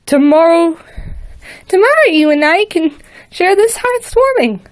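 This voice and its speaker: A woman voicing a kind, earnest little girl pony who pleads in a high, sweet voice. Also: animated, a little slow, no echo.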